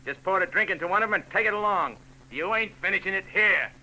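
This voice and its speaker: An actor playing a curt, blunt bartender in a 1940s radio drama. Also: male voice, high voice, fast, sharp tone, a little hiss or hum, poor audio. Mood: gruff. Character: gruff tough bartender.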